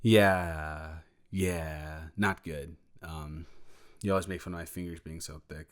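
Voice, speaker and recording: A man playing a sheepish, protective best friend in an improvised audio roleplay, talking in a halting, rambling, awkwardly candid way. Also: a bass voice, steady tone. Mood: agitated, sheepish. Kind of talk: improvised audio roleplay, intimate conversational monologue.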